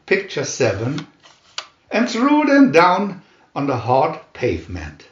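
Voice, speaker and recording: A man reading a scripted adventure story aloud in a dramatic, deliberate narrator's manner. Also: fairly high pitch, huge pitch swings, reverberant, highs cut off. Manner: dramatic, deliberate comic-adventure reading with announced picture numbers. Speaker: narrator and reader.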